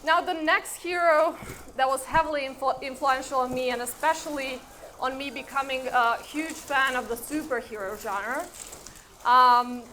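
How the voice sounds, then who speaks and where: High, bright-voiced woman. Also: moderate pace. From Croatia and Serbia. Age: 30s. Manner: conversational, addressing the audience directly. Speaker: conference speaker. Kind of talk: informal conference talk with personal anecdotes. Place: conference event, speaking before an audience. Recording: live event with background noise.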